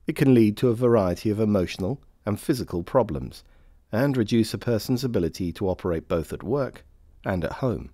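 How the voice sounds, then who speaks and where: A man reading scripted informational narration for a training film in a measured, formal manner. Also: on the low side, lively intonation, dark tone, no room sound, studio quality. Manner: measured, formal, clearly phrased.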